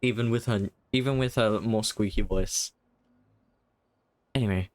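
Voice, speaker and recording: Slow-talking game streamer with rambling asides. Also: mid-range voice, a little mellow. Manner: rambling asides, stumbles while reading. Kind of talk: live game stream, reading character dialogue aloud.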